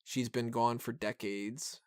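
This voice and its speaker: A man's voice: mid-range voice, fairly even intonation, slow, no echo, clean studio sound. A man hosting a film review podcast, talking casually and giving opinions in a rambling, self-interrupting way full of "like".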